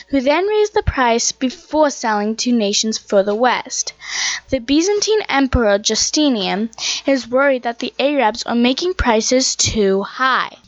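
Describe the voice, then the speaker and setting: A woman's voice: high-pitched, no room sound, highs cut off. A woman reading scripted narration for an audio play.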